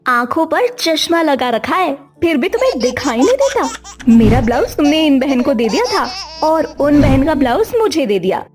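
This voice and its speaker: A chatty young wife in a cartoon story, who talks a lot and complains. Her voice is very high. Light music plays behind. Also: big pitch swings, moderate pace. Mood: annoyed. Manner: talkative, complaining. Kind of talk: cartoon voice acting.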